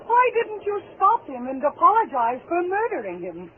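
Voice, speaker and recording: Cold, high-voiced scornful murderous wife. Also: female voice, huge pitch swings, moderate pace, phone-quality sound, exaggerated voice. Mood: icy sarcasm. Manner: cutting, sarcastic, imperious. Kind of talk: 1940s radio thriller acting. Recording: noisy, thin 1940s radio recording.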